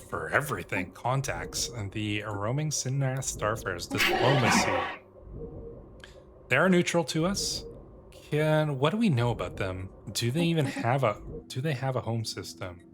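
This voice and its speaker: A man's voice: lowish voice, a bit bright. Man narrating his gameplay over prominent music. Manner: musing, talks to himself. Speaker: gaming video host. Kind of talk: roleplay game commentary, reading on-screen text aloud and thinking out loud. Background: game music.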